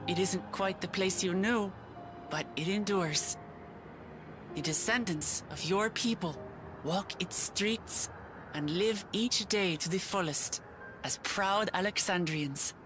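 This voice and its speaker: An actress playing a gentle, sincere young woman adventurer in a fantasy game, speaking in a measured, consoling way. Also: on the low side, over music. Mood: solemn, reassuring.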